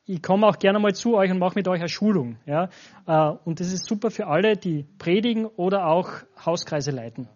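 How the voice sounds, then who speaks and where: High-voiced Austrian man, dull recording. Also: moderate pace, darkish tone. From Styria, Austria. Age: 40s. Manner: informal, addresses listeners directly. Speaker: guest pastor. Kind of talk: informal spoken introduction to a church congregation. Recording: dull, lo-fi sound.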